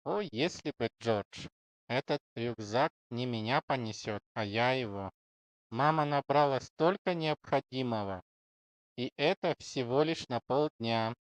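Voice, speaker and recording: A man voicing a lazy, joking, grumbling father pig in a children's cartoon story, wry and deliberate. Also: medium pitch, no echo, lightly acted, poor audio.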